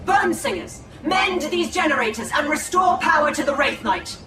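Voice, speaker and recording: A woman's voice: high-pitched, animated, normal speed. A woman playing a noble, urgent female elf leader, formal and commanding, in a dramatic game cinematic. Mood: firm, commanding. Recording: echoey, distant, loud music behind.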